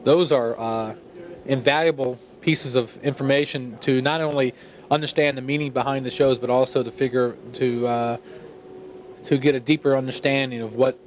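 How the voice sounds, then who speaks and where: A man explaining a club's services in a formal, somewhat halting presentation. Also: mid-range voice, fairly even intonation, slight background noise. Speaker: radio club member presenting the club.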